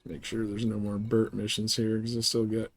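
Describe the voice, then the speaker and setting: A man's voice: on the low side, completely flat delivery, moderate pace, no echo. A man hosting a live game stream, chatting casually with viewers and explaining things in colloquial language. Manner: colloquial, explanatory, giving advice. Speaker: livestream host.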